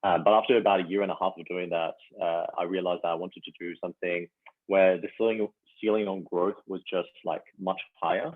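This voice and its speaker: A young man from France talks haltingly through a podcast interview, dotting his answers with fillers. His voice sits very low. It comes through a tinny, phone-like call line that sounds dry and close. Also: steady tone, no room sound. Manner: halting, full of "you know" fillers. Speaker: startup founder guest.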